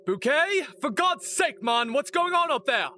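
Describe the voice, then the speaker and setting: A man voicing a flustered middle-aged male opera manager who shouts up to the rafters in alarm. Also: very high voice, sing-song, a little slow, faint music behind, voice pushed up, exaggerated voice.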